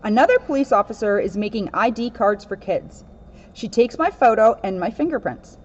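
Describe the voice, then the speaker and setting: A woman reads a children's story aloud as a police officer. Her voice sits a little high and moves with very animated intonation. The recording is slightly dull and lo-fi. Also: moderate pace, a little hiss or hum. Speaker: police officer reading a picture book. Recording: dull, lo-fi sound.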